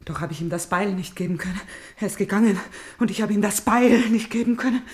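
An actress playing a tormented, vengeful princess in a German radio drama, theatrical and moving between breathy whispers and fierce outcry. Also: female voice, mid-range voice, quick pace, a little mellow, close to an everyday voice. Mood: desperate, agitated. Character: tormented, vengeful princess, fevered and obsessive, grieving her father.

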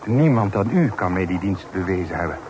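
A man's voice: low voice, animated, fairly quick. An actor in a Dutch radio play, playing an earnest, deferential townsman who recounts things formally and politely. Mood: earnest, indignant. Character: earnest, deferential townsman reporting troubling news to a gentleman. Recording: noisy old radio play recording.

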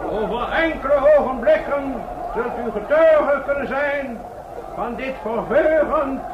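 Radio play role: pompous male ruler, very high-pitched, ceremonious public proclamations, distant and echoing. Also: unhurried. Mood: pompous, solemn. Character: pompous town ruler sentencing strangers to burn. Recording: noisy, dull old radio recording, distant echo.

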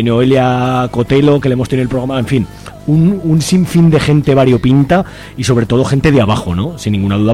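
A man's voice: mid-range voice, mellow. A man with an accent from Spain hosting a radio interview in a conversational, discursive way with frequent tag questions. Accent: Spanish from Spain.

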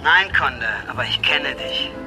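An actor playing an impatient but loyal friend who answers curtly and bluntly in a radio drama. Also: male voice, on the high side, measured pace, music in the background, close to an everyday voice, low-quality recording. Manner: curt, blunt. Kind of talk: German radio drama acting.

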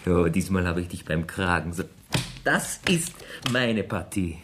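An actor playing a playful, teasing gentleman in a theatrical 1970s German radio drama. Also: sits low, animated, measured pace, background noise. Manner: theatrical, bantering. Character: playful, teasing gentleman friend of the family, flustered suitor.

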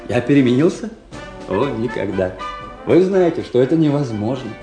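An actor playing a vain, lovesick young officer in a Russian radio play, with a theatrical, high-flown manner. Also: male voice, big pitch swings, moderate pace. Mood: ardent, pleading. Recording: roomy echo, faint music behind.

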